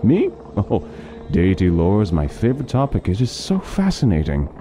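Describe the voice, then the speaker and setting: An actor playing a learned doctor who eagerly lectures on lore in an interactive fantasy story. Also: male voice, lowish voice, huge pitch swings, normal speed, over music. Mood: explaining. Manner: talkative, lecturing, fond of lore. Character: scholarly male doctor, middle-aged, chatty lore lover.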